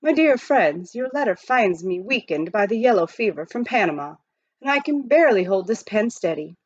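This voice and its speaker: A woman's voice: medium pitch, expressive, normal speed, dry recording, muffled recording, natural voice. A woman voicing an ailing male doctor in a formal, earnest letter within a storybook. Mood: pleading.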